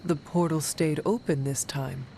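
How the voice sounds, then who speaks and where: Solemn warrior heroine, reverberant. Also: female voice, low voice, steady tone, creaky, warm tone, a little hiss or hum. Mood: matter-of-fact. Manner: formal, measured, avoids contractions. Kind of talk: video game character acting.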